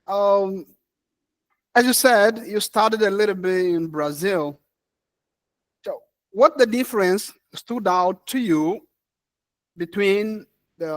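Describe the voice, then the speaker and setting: A man in a class, asking a question with some hesitation. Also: high voice.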